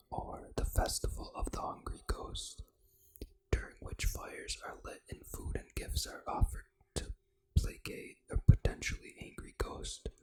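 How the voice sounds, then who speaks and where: An ASMR host reading aloud in a hushed, fully unvoiced whisper, with deliberate phrasing. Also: very high voice, poor audio. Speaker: ASMR gaming channel host.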